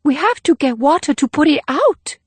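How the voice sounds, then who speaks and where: A woman's voice: high-pitched, very animated, moderate pace, no room sound. A woman playing a curious, easily frightened young girl in audiobook dialogue. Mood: alarmed.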